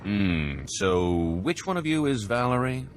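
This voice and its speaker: A man's voice: lowish voice, big pitch swings, a little slow, soft background music. A man playing a sly, greedy con artist in a video game, with a glib, smug, scheming way of talking. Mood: wry. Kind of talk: video game cutscene acting.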